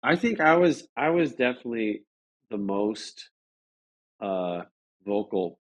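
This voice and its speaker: A man's voice: mid-range voice, big pitch swings, slow pace. A man telling an anecdote as an interview guest, casual and agreeable, often stopping to correct himself.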